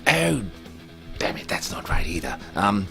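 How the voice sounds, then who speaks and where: A man's voice: low voice, wildly swooping pitch, unhurried, vocal fry, sharp tone, faint music behind, full character voice. A man playing a wry radio serial narrator, telling a comedy story in a mock-dramatic style.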